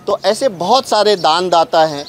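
A man's voice: high voice, animated, moderate pace, soft background music. A middle-aged man with an Indian accent reporting and interviewing on location as a news presenter. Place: outdoors on a busy street at an event. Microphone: handheld clip mic held close. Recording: noisy outdoor street ambience.

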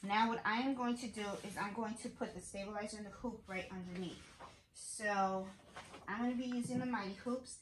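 A woman's voice: medium pitch, steady tone, a little fast, echoey room, slight background noise, rough recording. A middle-aged woman hosting a casual embroidery tutorial from her home sewing room, chatting and thinking aloud as she works. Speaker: sewing channel host.

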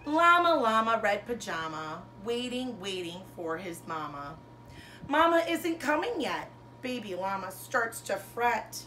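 A woman in her thirties, a teacher reading a children's storybook aloud to pupils with expressive, sing-song phrasing and emphasized words. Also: mid-range voice, unhurried, slight background noise. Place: bedroom, indoors. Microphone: camera a couple of metres away.

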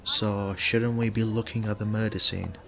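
A police detective in an audio drama. His voice is fairly low with a bright edge. He is polite, eager and a bit naive. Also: little pitch movement, normal speed, gravelly. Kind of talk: podcast drama acting.

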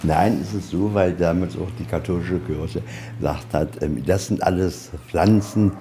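A man with a very deep, mellow voice gives an informal guided tour. He explains in a conversational, slightly rambling way, as a museum guide talking to visitors. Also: a little slow, slight background noise.

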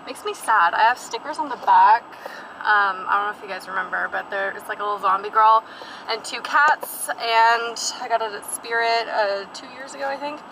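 A young woman talks from behind the wheel, chatting loosely about her day with plenty of fillers. She is recorded on a dashboard camera inside the car, with steady road and engine noise underneath her voice. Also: fairly high pitch, normal speed, low-quality recording. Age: young adult. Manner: chatty and rambling, many fillers and asides. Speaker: vlogger. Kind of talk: casual vlog chat while driving. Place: inside a parked and moving car.

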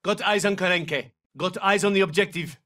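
An actor playing a confident, battle-hardened special forces operator in a military shooter game, giving clipped, terse callouts. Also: male voice, high voice, normal speed, bright, forceful tone, recorded from a distance. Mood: businesslike.

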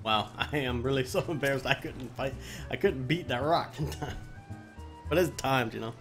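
A man doing a live let's play, reading quest dialogue aloud haltingly and often restarting his phrases. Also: medium pitch. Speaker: game streamer. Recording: music behind the voice. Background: game music.

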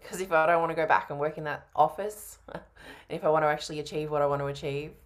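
A woman in her thirties with a low voice, talking casually on a video call. She plays the prospect in a sales roleplay, replying in an everyday conversational way. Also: steady tone, fast. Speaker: coaching trainee playing a sales prospect. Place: home room by a window, on a video call.

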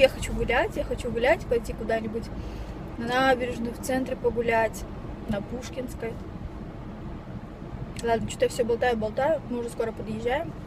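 High-voiced young woman chatting in a noisy car. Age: young adult. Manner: chatty, rambling, slangy. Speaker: vlogger. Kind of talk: casual chat while driving. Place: inside a moving car. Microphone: camera mounted on the dashboard. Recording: noisy car cabin with road noise.

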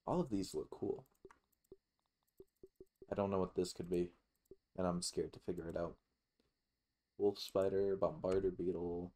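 A man's voice: low-pitched, a little slow, pauses often, mellow, a little hiss or hum. A man giving casual, rambling let's play commentary with some swearing. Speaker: gaming YouTuber.

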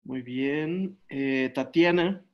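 A man hosting an online session from a small home room over a webcam, briskly calling on participants and thanking each one. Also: medium pitch, muffled recording. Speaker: session host. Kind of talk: video call roll call. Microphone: laptop webcam on video call.

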